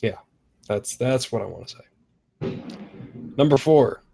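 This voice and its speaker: A man's voice: medium pitch, lively intonation, slow, creaky, dark tone. A man giving casual script feedback in an online group call, point by point, often searching for his words. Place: online voice chat.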